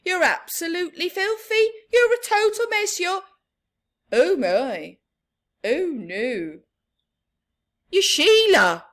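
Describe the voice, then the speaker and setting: A woman's voice: very high-pitched, very animated, slow, pauses often, fairly bright tone, pitched above the performer's own voice, heavily acted. A woman voicing a stern father in a children's story, exasperated and scolding with emphasis. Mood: shocked.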